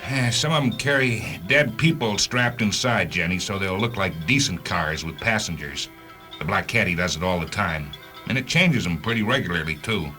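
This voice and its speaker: A man acting a driven, vengeful hunter in a radio drama, with an intense, emphatic and sometimes halting delivery. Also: low voice, animated, sharp tone, faint music behind. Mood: grim, intent. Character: driven, vengeful male hunter of rogue cars.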